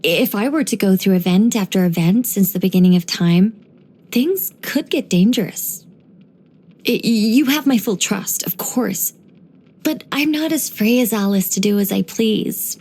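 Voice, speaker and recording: A woman voicing a gentle, wry former angel turned human storyteller in a video game, musing and lightly teasing in an unhurried way. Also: medium pitch, expressive. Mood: teasing, confiding. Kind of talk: scripted video game character voice lines.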